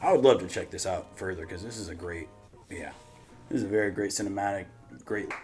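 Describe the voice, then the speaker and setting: A man's voice: deep voice, brisk, loud music behind the voice. A man hosting a casual reaction discussion, thinking aloud in a rambling, informal, sweary way. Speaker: reaction show host.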